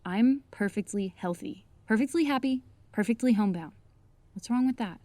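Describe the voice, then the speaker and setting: Give a young female homebody in an audio comedy, acted by a woman. Her voice sits a bit high and is recorded close and dry. She is defensive and jokey, protesting everything with sarcastic, dramatic indignation. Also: normal speed, dark tone, dry recording, close to an everyday voice.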